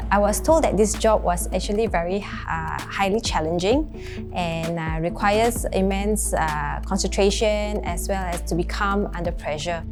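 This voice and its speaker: A young woman with a Singaporean accent speaks as an interviewee about her profession. Her pitch is middling and her phrasing is measured, broken by hesitant pauses. Soft music plays behind her. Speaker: air traffic controller, interviewee. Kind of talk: explanatory interview to camera.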